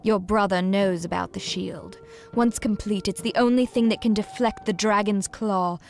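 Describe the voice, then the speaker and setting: An actress playing a poised, grave noblewoman who leads a cause in a video game, speaking in a measured, formal way. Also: medium pitch, steady tone, creaky, a little mellow, lightly acted. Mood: grave, revealing. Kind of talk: video game cutscene acting.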